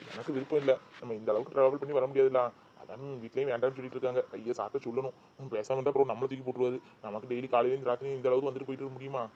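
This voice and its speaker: Guy acting as a chatty office buddy, super fast talker, dull lo-fi audio. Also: medium pitch, darkish tone, slight background noise, close to an everyday voice. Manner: chatty, rambling. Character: chatty male office coworker. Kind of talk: Tamil drama acting.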